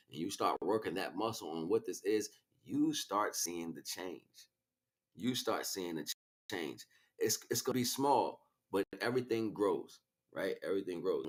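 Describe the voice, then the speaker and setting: A man livestreaming an informal spiritual lecture, speaking off the cuff with emphatic repeated phrases and frequent check-ins with his listeners. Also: sits low. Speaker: livestream host, spiritual teacher.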